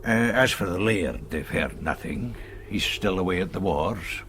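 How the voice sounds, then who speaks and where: An actor playing a genial, wry older Scottish gentleman scholar in a radio drama, with measured phrasing. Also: low-pitched, animated, a little hiss or hum. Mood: wry, confiding.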